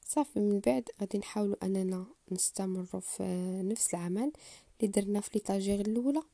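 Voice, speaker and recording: A woman with a Moroccan Arabic accent talks viewers through assembling furniture at a fast clip. Her voice is very dark and mellow, and it is recorded close and dry with no room sound. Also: medium pitch, no room sound. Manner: unhurried, explanatory, addresses viewers directly. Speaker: home DIY channel host. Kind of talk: step-by-step assembly tutorial voice-over.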